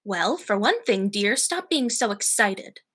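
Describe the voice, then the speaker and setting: A teenage woman playing a mild, cheerful elderly aunt, genteel and serenely matter-of-fact, in a high school radio play. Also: lively intonation, measured pace, fairly bright tone. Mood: placid, matter-of-fact. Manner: genteel, placid.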